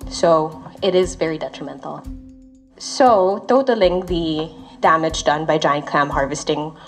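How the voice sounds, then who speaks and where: A woman from the Philippines, a research associate, calmly explaining findings with occasional fillers. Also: low-pitched, measured pace, faint music behind. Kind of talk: explanatory interview talk.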